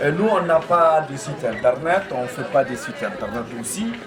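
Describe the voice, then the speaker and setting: A man's voice: fairly high pitch, animated, moderate pace, a crowd in the background. A man exhibiting craftwork at a fair, speaking plainly and casually. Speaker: artisan exhibitor.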